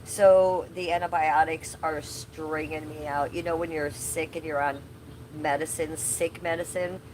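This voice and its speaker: A woman hosts a loose, chatty livestream from her tree-covered backyard, a bit sick and losing her voice. Her voice sits on the lower side. Faint outdoor noise and passing cars can be heard behind her. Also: steady tone, measured pace, darkish tone, rough recording. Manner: chatty, loose, swears casually, talks to chat viewers by name. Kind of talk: casual livestream chat outdoors. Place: backyard under trees, outdoors.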